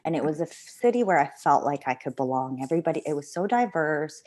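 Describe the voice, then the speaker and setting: A woman's voice: low voice, animated, fast talker, darkish tone, no echo. A young woman from Canada and the Philippines, a law student guest, telling her story reflectively and laughing now and then. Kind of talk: podcast interview.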